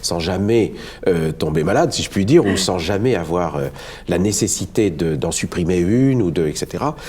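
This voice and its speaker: A man's voice: deep voice, expressive, normal speed, a little mellow. A French man from Le Havre speaking as a musician guest in a cultural discussion, earnest and reflective, with frequent hesitant restarts. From France, Le Havre.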